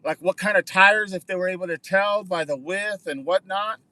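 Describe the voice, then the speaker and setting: A man's voice: high voice, normal speed, bright, forceful tone, dry recording. A man hosting a casual livestream outdoors, chatting with viewers and answering their comments, often repeating words for emphasis. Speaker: livestream host.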